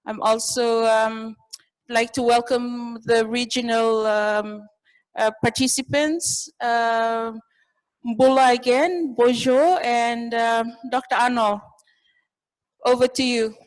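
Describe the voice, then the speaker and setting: A woman's voice: fairly high pitch, steady tone, slow, long reverb tail, poor audio. A woman, a communications officer, giving a brief formal introduction of a guest speaker with some hesitant fillers. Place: campus room.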